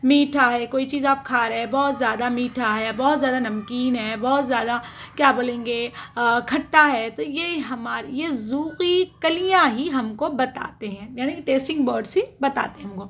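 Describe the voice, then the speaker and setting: High-voiced woman teaching with self-posed questions. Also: normal speed, fairly bright tone, slight background noise. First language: Urdu. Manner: asks questions then answers them, frequent "theek hai". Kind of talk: explanatory science lesson.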